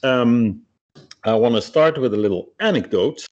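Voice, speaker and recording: A man's voice: medium pitch, animated, moderate pace, a little mellow. A middle-aged man from the Netherlands, giving a technical webinar talk with many hesitations and fillers. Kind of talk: technical presentation. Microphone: video call. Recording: muffled lo-fi video-call audio.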